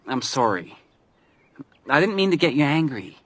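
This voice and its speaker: A man's voice: medium pitch, sing-song, normal speed, slight background noise, close to an everyday voice. A man acting a younger, talkative hiker in a radio drama, nervy, probing and insistent. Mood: apologetic, insistent.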